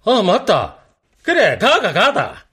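An actor playing a stubborn old grandfather who speaks with a heavy Gyeongsang accent, blunt and teasing, with a husky voice. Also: high-pitched, huge pitch swings, slow pace, fairly bright tone, heavily acted. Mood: grumbling. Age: older.

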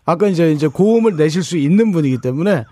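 A man co-hosting a radio show, coaching a caller on pitch and diction with emphatic demonstrations and frequent laughs. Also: expressive, fast talker, dark tone, dry recording, clean studio sound. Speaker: radio co-host and vocal coach.

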